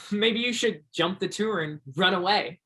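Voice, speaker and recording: Bright-voiced young souvenir seller. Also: male voice, high-pitched. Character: easygoing, obliging young male roadhouse souvenir seller. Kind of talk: stage play acting.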